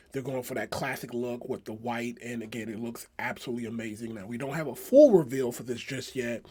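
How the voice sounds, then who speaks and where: A man with a hoarse voice from a cold, talking casually through a toy review with lots of fillers. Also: mid-range voice. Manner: rambling, many fillers and restarts. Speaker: toy collector vlogger.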